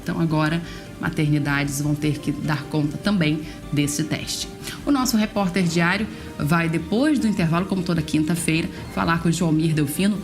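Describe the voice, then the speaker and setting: A woman's voice: deep voice, moderate pace, over music. A Brazilian woman presenting news on a regional show, explaining items with informal asides.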